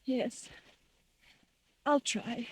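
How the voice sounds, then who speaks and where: An actress playing a frightened, suspicious wife in an old-time radio thriller, hesitant and pleading. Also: female voice, fairly high pitch, extremely slow. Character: frightened, suspicious wife who fears being poisoned.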